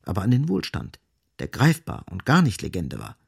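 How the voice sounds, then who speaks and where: An actor as the sardonic narrator of a literary radio play, gravelly and husky, speaking with ironic, dramatic emphasis. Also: male voice, low-pitched, huge pitch swings, moderate pace, darkish tone, full character voice.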